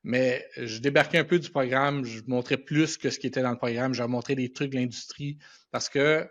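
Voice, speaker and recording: A man's voice: mid-range voice, fairly even intonation, normal speed. A middle-aged man with a Quebec French accent tells anecdotes casually as an interview guest, using colloquial speech sprinkled with English words. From Quebec, Canada. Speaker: podcast guest, animation and visual effects professional. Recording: dull, band-limited podcast audio.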